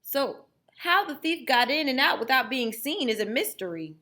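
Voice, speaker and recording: A woman's voice: high-pitched, big pitch swings, moderate pace, bright, forceful tone, pitched above the performer's own voice, exaggerated voice. A woman voicing a serious police officer in a children's story, giving a plain report. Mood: grave. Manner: serious, matter-of-fact report.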